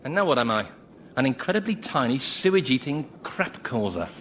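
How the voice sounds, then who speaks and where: An actor playing a vain, self-pitying damned sinner in a radio comedy, whining and pleading melodramatically. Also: male voice, mid-range voice, very animated, brisk, background noise, lightly acted. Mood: bitter, self-pitying. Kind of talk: studio radio comedy acting.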